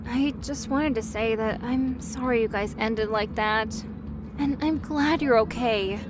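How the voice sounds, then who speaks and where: A woman's voice: on the high side, a little slow, music in the background, natural voice. A woman playing a caring, teasing young best friend in an audio roleplay, chatty and a little hesitant as she talks. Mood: curious, awkward. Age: young adult. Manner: chatty, hesitant, self-correcting, playfully teasing. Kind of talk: interactive audio roleplay acting.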